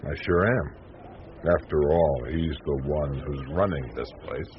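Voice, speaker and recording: An actor playing an indignant, bitter moral skeptic in a radio drama, emphatic and argumentative. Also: male voice, rumbling low, unhurried. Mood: scornful, stubborn. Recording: dull, faintly hissy radio recording.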